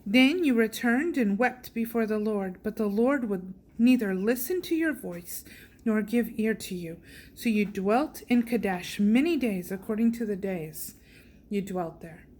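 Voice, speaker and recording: A woman reading scripture aloud in a measured way, sometimes stumbling over hard names. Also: mid-range voice, expressive, a little mellow. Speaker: Bible reader. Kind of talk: slow, careful scripture reading.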